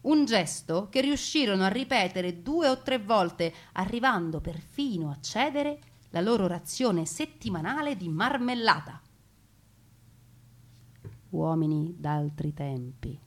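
A woman narrating a story theatrically, with deliberate emphasis and lingering pauses. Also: medium pitch, expressive. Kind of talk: theatrical narration of a family war memoir. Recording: music playing behind the voice. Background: music.

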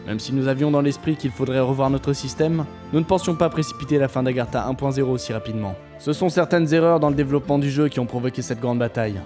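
Male tech boss acted by a man, fast delivery, medium pitch, courteous and formal, light music behind, radio drama interview. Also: close to an everyday voice. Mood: composed, explanatory. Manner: polite, measured formal phrasing. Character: composed, courteous male game company boss and inventor.

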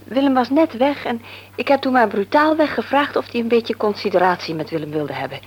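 An actress playing a worried young married daughter in a radio drama, earnest and slightly anxious. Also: female voice, a little high, lively intonation, a little fast, darkish tone, a little hiss or hum, lightly acted.

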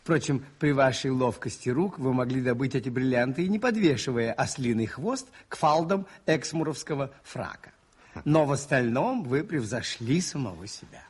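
Shrewd, husky, animated priest detective. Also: male voice, mid-range voice, normal speed. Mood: musing, teasing. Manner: musing, ironic, expressive and conversational. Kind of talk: Soviet radio play acting.

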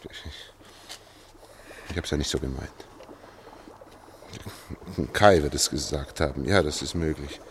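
Anxious, low-voiced middle-aged engineer. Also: male voice, unhurried, long pauses, slight background noise. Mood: subdued, reminiscing. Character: anxious male engineer leading an escape through sewers. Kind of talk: German radio drama acting.

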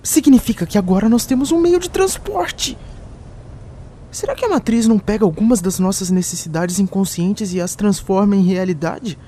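Woman as a mellow-voiced, hopeful sci-fi traveller. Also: medium pitch, moderate pace, a little hiss or hum, lightly acted. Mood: hopeful, determined. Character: hopeful, determined traveller in a strange world. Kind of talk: audio drama acting.

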